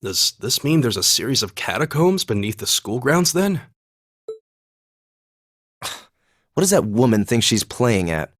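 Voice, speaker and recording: A man's voice: medium pitch, big pitch swings, measured pace, pauses often, fairly bright tone, dry recording, clean studio sound. A man playing an indignant, suspicious young student in a video game, with formal, irritable phrasing. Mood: irritated. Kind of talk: English video game voice acting.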